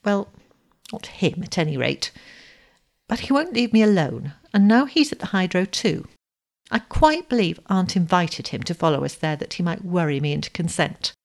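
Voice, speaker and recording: A woman's voice: lowish voice, expressive, moderate pace, vocal fry. A woman voicing a spirited, rebellious young woman in a story, frank and insistent. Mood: rebellious confiding.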